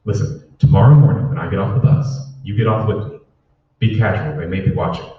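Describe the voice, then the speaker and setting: A man's voice: low voice, brisk, lightly acted. Man acting a furtive, anxious conspirator, echoey. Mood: relieved, secretive. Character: furtive, anxious man warning a friend by telephone. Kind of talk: old-time radio drama acting. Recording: echoey hall, distant mic, dull.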